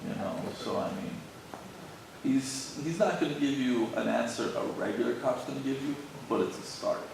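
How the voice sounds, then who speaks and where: Very creaky-voiced man in an echoey hall. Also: mid-range voice, animated, normal speed. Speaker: audience member. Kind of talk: informal audience feedback. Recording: echoey hall, distant mic, noisy.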